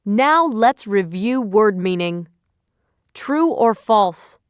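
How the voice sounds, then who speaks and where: Slow, clear-voiced woman reading quizzes. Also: mid-range voice, animated, dry recording, clean studio sound. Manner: instructional, measured phrasing. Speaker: quiz teacher. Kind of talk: scripted educational quiz narration.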